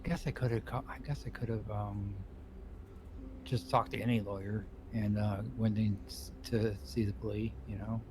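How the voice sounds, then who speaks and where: A man's voice: lowish voice, fairly even intonation, a little slow, noisy background, lightly acted. A man playing an anxious, hesitant criminal defendant in improvised game roleplay, stammering and repeating his words. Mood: fretful, uncertain. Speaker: roleplay streamer playing a character.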